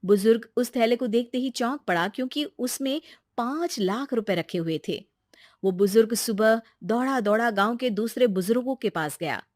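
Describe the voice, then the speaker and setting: A woman's voice: a little high, moderate pace, darkish tone, dry recording, studio quality. A woman reading scripted narration for a children's moral story.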